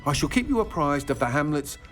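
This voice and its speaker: A courteous British shopkeeper in a fantasy game. His voice sits a little high and moves expressively, with formal, earnest phrasing. Faint music sits behind him. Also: very animated, quick pace, a bit bright. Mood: relieved, grateful. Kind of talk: video game character dialogue.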